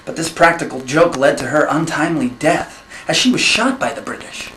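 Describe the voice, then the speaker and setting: A man hosting a comedy special, presenting mock fun facts with an awkward, halting manner. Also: on the high side, lively intonation, fairly bright tone. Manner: awkward, halting between segments. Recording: echoey room with faint noise.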